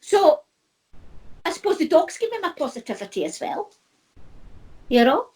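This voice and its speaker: A middle-aged woman chats easily, with a high voice that swoops up and down. She laughs often and circles back over her own words. Her talk is loose and friendly. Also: sing-song, normal speed, darkish tone. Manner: chatty, laughs often, repeats herself. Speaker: co-host. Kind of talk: casual chat.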